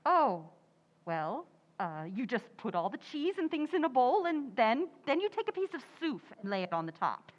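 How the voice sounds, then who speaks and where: A woman's voice: sits high, sing-song, normal speed. A woman playing a stubborn, overconfident housewife who cannot cook, in a theatrical old-time radio sitcom style. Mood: breezy bluffing. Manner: theatrical, breezy, bluffing. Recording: echoey hall, dull muffled sound.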